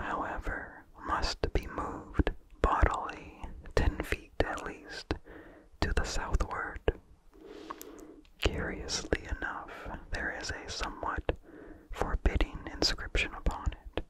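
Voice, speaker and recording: An ASMR host reading in a breathy, unvoiced whisper, slowly and calmly, with careful enunciation. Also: low-quality recording. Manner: slow, calm, careful enunciation. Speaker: ASMR host and story reader.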